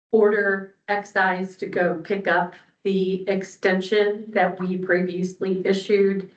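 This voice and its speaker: The sound is distant and roomy, from a public meeting. A woman commissioner speaks formally, slowly and with little change in pitch. Her delivery is halting and deliberate. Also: highs cut off.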